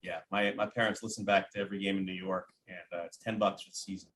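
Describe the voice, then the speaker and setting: A man's voice: low voice, level tone, quick pace, a bit bright, a little hiss or hum. A man who works as a play-by-play announcer, giving casual sports analysis as a podcast guest.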